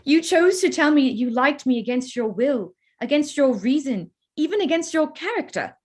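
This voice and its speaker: A woman playing a proud, sharp-tongued young Regency gentlewoman in a staged reading, speaking in pointed, formal phrases. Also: high voice, lively intonation, moderate pace. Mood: angry, accusing. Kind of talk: staged reading of a period drama.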